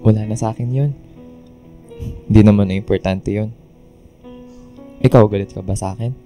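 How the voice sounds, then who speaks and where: A man playing a gentle, forgiving young man, soft and reassuring in radio drama dialogue. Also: lowish voice, fairly even intonation, normal speed, faint music behind, natural voice. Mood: understanding.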